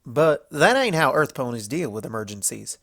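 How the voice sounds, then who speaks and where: A man's voice: medium pitch, very animated, moderate pace, fairly bright tone. A man voicing a stubborn, blunt young female farm pony in a storybook reading, with folksy country phrasing. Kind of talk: storybook fan fiction character voice.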